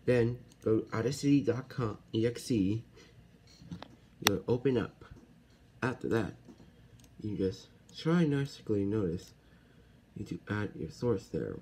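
A man giving a casual software tutorial step by step, haltingly and correcting himself as he goes. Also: fairly low pitch, lively intonation. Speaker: tutorial host. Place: indoors at a computer. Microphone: handheld camera or phone filming the screen. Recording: noisy handheld recording with computer clicks.

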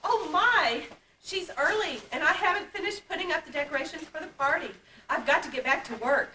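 A woman playing an eager, busy young girl in a children's puppet play, excited and exclamatory. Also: sits high, fairly quick. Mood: flustered. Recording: echoey, noisy distant camcorder recording.

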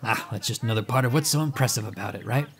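A man's voice: medium pitch, racing, a bit bright, natural voice. A man playing a cocky, glib inventor. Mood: boastful. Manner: teasing, boastful, smooth-talking. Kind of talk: audio drama acting.

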